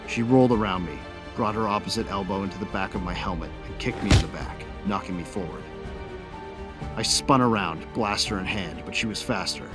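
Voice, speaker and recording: Man acting a deep-voiced, brooding sci-fi warrior. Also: brisk, over music, close to an everyday voice. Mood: angry, combative. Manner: intense, brooding, sardonic. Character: gruff, hot-tempered male warrior narrating his own battle. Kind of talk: dramatic first-person audio drama narration.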